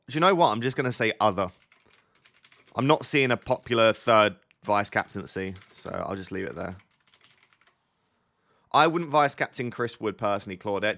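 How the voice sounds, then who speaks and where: A man's voice: lowish voice, pushed and bright. A man hosting a casual live stream, answering viewer chat. He has a slight cold and sounds a little sniffly, thinking aloud and often restarting his sentences.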